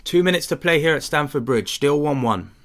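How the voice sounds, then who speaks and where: A man's voice: fairly high pitch, animated, fairly quick. A young man hosting a football watchalong, commentating casually and chatting with viewers, rambling with fillers. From England. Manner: chatty, rambling with fillers, answers chat questions. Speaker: watchalong host.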